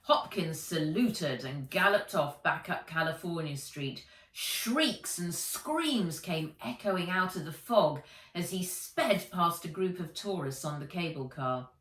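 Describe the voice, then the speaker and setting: A woman's voice: on the low side, animated, slow pace, a bit bright. A woman narrating a children's adventure story aloud as an author reading from a book. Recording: roomy echo, distant from the mic.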